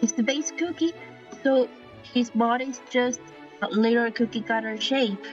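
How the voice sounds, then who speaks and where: A woman with a Spanish accent, talking through a drawing tutorial in a slightly high voice. She speaks hesitantly, restarting and apologizing as she goes. The home recording is dull and lo-fi, with faint music playing underneath. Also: a little slow. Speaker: artist explaining a drawing style.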